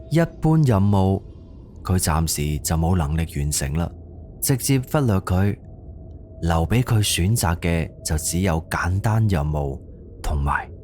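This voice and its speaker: A man's voice: deep voice, lively intonation, measured pace, a little mellow, soft background music. A man with a Cantonese accent narrating a scripted suspense horror audio drama.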